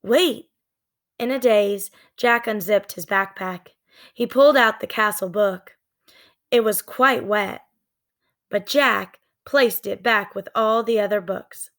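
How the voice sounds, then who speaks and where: A woman narrating a children's book in a deliberate, expressive storytelling manner. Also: mid-range voice. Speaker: read-aloud storyteller.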